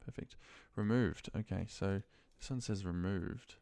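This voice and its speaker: A man's voice: sits low, measured pace, gravelly, dark tone, no room sound. A man thinking aloud in short muttered fragments as he walks through computer work on a screen recording, in an informal way. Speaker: office worker demonstrating a data-entry task.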